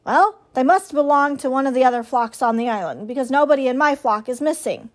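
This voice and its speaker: A woman's voice: high voice, lively intonation, fairly quick. A woman voicing a brusque old female goose in a storybook, squawking and matter-of-fact.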